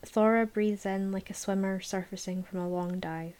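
A woman reads a novel aloud at a middle pitch. Her narration is measured and calm, dropping to a near whisper at times. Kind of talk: audiobook narration, present tense.

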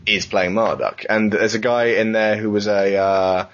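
A man hosting a casual panel chat about films, talking in an animated, ranting way with frequent swearing. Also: on the low side, normal speed. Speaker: podcast host. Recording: muffled lo-fi audio.

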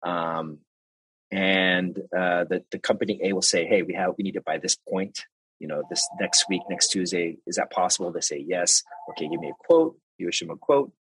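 A man's voice: deep voice, moderate pace, fairly bright tone, husky, dry recording, poor audio. A man, a translator and interpreter, explaining his work step by step in a casual interview, with restarts and examples.

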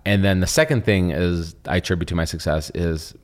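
A man's voice: low voice, a little fast. A young man who grew up speaking Russian, co-hosting a podcast in a rambling casual chat full of fillers and restarts. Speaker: podcast co-host.

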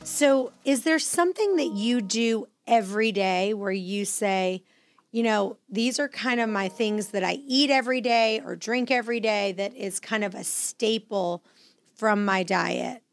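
A woman's voice: mid-range voice, a little slow, no echo, soft background music. A woman hosting a podcast, chatting informally and effusively as she conducts an interview. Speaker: podcast host. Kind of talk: casual interview chat.

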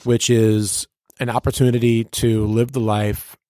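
A man speaks as a radio personality in a relaxed interview chat. His voice sits at an average pitch, and his delivery is slangy, playful and rhetorical, like a showman. The recording is dry and close. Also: a little slow, no room sound.

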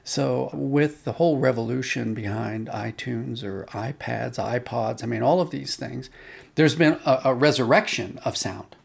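A man's voice: medium pitch, unhurried, creaky, husky. A man being interviewed as an audio drama producer, explaining conversationally and telling anecdotes with quoted dialogue.

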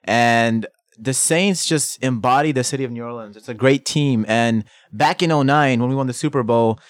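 A man's voice: medium pitch, normal speed, no room sound, clean studio sound. A man from New York, a guest on a sports podcast, telling sports stories with emphatic energy. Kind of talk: casual sports chat.

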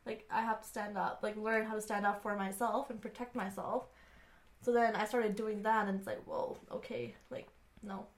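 A young woman co-hosting a podcast, telling personal stories casually in short, halting bursts. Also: mid-range voice, little pitch movement, echoey room. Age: young adult. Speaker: podcast co-host. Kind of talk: casual chat, personal anecdotes.

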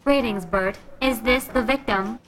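A woman's voice: fairly high pitch, unhurried, lightly acted. A woman playing a literal-minded, boastful robot with stilted, programmed phrasing in a comedic audio drama. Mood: formal. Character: literal-minded, boastful female robot, later police detective. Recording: music behind the voice.

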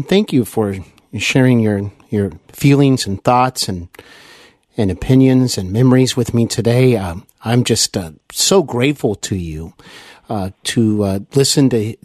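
A man's voice: mid-range voice, normal speed, a little mellow, no room sound, clean studio sound. A man hosting an interview podcast in an earnest, courteous and effusive manner. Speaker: podcast host.

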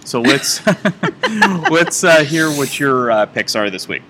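Lively podcast host over noise. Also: mid-range voice. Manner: lively, encouraging, quick filler replies. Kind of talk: casual podcast chat.

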